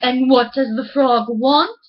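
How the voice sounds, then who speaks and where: A woman's voice: high-pitched, fairly even intonation, takes it slowly, highs cut off. Woman reading as a stern fatherly storybook king. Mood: concerned. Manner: solemn, formal pronouncements.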